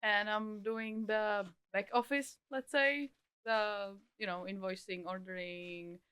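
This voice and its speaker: Czech-accented woman, thirties, chatty guest, smooth tone. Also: mid-range voice, steady tone, slow pace, a bit bright. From Czech Republic. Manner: chatty, laughing. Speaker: guest, back office of bakery. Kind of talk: casual interview chat. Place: bakery.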